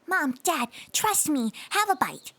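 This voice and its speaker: A woman voicing a young female blue jay, a hesitant but hopeful dreamer, in an animated short film. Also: high voice, takes it slowly, exaggerated voice. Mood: earnest, hopeful. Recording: music behind the voice.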